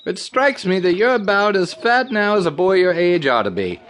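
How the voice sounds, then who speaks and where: An actor playing a wheedling, folksy circus candy seller in an audio drama, with a self-justifying, rustic way of talking. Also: male voice, high-pitched, expressive, normal speed, fairly bright tone, slight background noise. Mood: folksy, sly.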